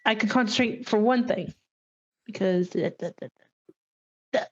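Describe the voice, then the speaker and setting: A woman's voice: mid-range voice, normal speed, husky. A woman playing a tabletop game, chatting casually, exclaiming and muttering to herself over a lo-fi microphone.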